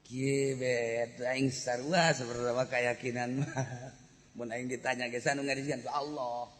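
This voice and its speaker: A man with a Sundanese accent preaching through earthy, animated, colloquial comic storytelling. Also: mid-range voice, measured pace, a little hiss or hum. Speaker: Islamic preacher. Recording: echoey hall with long reverb.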